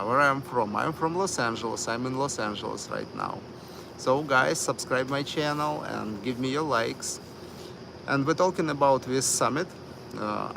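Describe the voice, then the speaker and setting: A man hosting a live stream, talking slowly with many fillers and broken grammar. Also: medium pitch, vocal fry, noisy background. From United States. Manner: hesitant, many fillers, non-native grammar. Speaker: livestream host.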